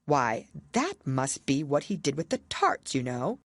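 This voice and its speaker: A woman's voice: low voice, big pitch swings, a little slow, no echo, studio quality, heavily acted. A woman voicing a pompous, muddled storybook king who is very pleased with himself, speaking emphatically. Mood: triumphant. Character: pompous, muddled, self-satisfied storybook king acting as judge.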